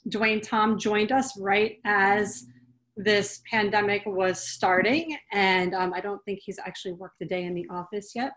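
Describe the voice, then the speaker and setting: A woman's voice: medium pitch, fairly bright tone. A woman moderating an online town hall, introducing the next speaker in a friendly, upbeat way.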